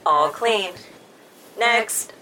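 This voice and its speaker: An actress playing a courteous, helpful community health robot in an audio drama, speaking precisely and formally. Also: female voice, on the high side, steady tone, drawn-out words, heavy background noise. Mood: friendly, helpful. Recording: music under the voice.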